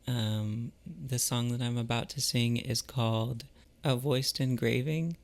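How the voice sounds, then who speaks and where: A man chatting casually and reflectively to viewers as a vlogger, in a halting, musing way with trailing pauses. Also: medium pitch. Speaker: vlogger and artist.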